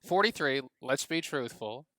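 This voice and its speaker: A man's voice: mid-range voice, animated, measured pace, pushed and bright, no room sound. A man with a mid-range voice co-hosts a podcast and chats casually. He rambles, jokes and breaks off his own sentences. The recording is dry and close on the mic. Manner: rambling, self-interrupting, joking. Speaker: podcast co-host.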